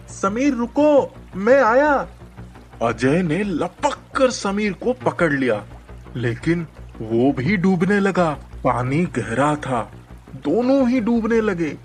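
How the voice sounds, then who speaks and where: A man narrates a children's story in a high, animated voice. His delivery is scripted and slow, with music playing behind him.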